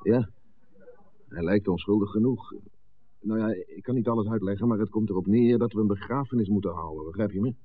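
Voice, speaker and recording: Actor as husky, brash village schemer. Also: male voice, lowish voice, a little fast, lightly acted. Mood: insistent. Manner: blunt, insistent. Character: brash, confident male village conspirator, impatient and persuasive. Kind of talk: 1960s Dutch radio play acting. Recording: muffled, narrow-band old radio recording.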